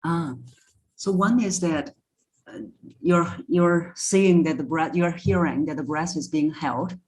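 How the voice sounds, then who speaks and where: A woman's voice: low-pitched, takes it slowly, a little mellow, low-quality recording. A woman speaking as a voice coach in a conversational interview, giving instructive advice step by step. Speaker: voice coach, podcast guest.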